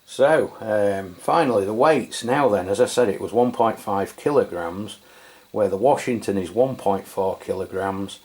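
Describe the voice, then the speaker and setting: Low-voiced man reviewing a radio. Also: unhurried. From England. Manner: relaxed and chatty, with frequent fillers and self-corrections. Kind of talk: casual product review walkthrough.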